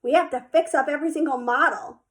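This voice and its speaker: A woman voicing a hot-tempered young girl who shouts at her friends. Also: high voice, fast talker, pitched above the performer's own voice. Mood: worried. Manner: shouting, exasperated.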